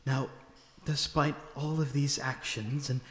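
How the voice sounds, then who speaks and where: Guy doing a lonely vampire prisoner for audio rp, tired n sardonic, mid pitch, big echoey room. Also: flat delivery, a bit bright. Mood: weary frustration. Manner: sardonic, measured, dramatic phrasing. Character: lonesome captive male vampire, weary, bitter and sardonic. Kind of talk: ASMR audio roleplay acting. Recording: echoey reverberant room with background noise.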